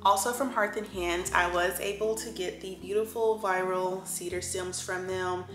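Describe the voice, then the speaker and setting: A woman's voice: mid-range voice, fairly even intonation, normal speed. A woman with a sore throat chatting through a home decor haul in a chatty, enthusiastic way, with lots of fillers. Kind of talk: casual haul chat. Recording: roomy echo with background music.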